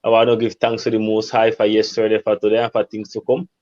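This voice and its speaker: A man's voice: on the low side, monotone, moderate pace, a little mellow, no room sound, dull audio. A man with a Nicaraguan Caribbean coast accent, a native Creole English speaker, talking formally as an interview guest. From Bluefields, Caribbean coast of Nicaragua. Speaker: community leader guest.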